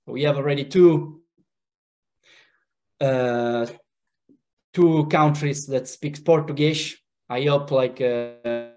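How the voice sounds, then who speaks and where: A man with an Italian accent hosts an online webinar in a relaxed way, pausing often with "uh" fillers. He speaks over a video call from a home office. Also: a little high. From Italy. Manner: relaxed, hesitant, with frequent "uh" fillers. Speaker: webinar host.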